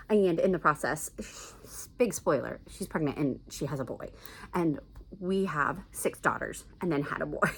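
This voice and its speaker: Lower-pitched, enthusiastic woman chatting casually. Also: husky. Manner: enthusiastic, emphatic. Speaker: book vlogger recommending family audiobooks.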